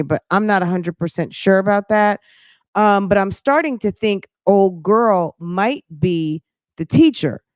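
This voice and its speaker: A woman's voice: a little high, darkish tone, dry recording, studio quality. A woman hosting a casual commentary show, thinking aloud in a sassy, pointed way with rhetorical questions and emphatic repetition.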